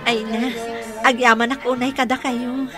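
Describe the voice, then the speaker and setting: A woman acting as sweet young mother, fairly high voice, sentimental, radio drama, soft music behind. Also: flat delivery, moderate pace, lightly acted. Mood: grateful. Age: young adult. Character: sweet, grateful young mother finishing her studies.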